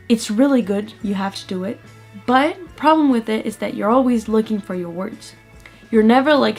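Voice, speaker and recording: A woman's voice: medium pitch, darkish tone. Earnest woman sharing language tips. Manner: earnest, restarts sentences. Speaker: language learner. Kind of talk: casual advice to camera.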